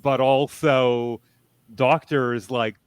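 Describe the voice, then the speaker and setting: A man speaking as a panelist, explaining things in a measured, thoughtful, casual way. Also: medium pitch, fairly even intonation, clear, resonant tone, no echo. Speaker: convention panelist.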